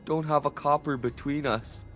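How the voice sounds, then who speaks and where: A man's voice: a little high, fairly even intonation, normal speed, a bit bright, soft background music, natural voice. A man playing a plaintive street beggar in a video game, pleading poverty.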